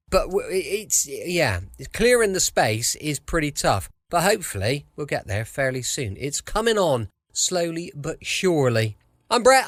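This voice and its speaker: A man's voice: fairly high pitch, fairly bright tone, no room sound, studio quality. A man hosting a podcast in a casual, chatty, rambling way. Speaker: podcast host. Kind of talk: casual chat. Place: home, close dry sound.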